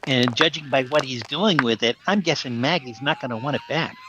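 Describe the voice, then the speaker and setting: A woman's voice: a bass voice, animated, fairly quick, background noise. A woman playing a goofy, cheeky sidekick in a 1940s-style radio comedy. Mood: sarcastic.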